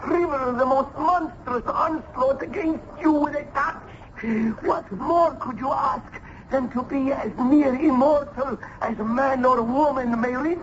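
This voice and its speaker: A fanatical scientist father who boasts like a god, declaiming at a slow, weighty pace. He is an older radio-drama villain with grand, theatrical delivery. The old broadcast sound is dull, with some background noise. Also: very high voice. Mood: grandiose, boastful.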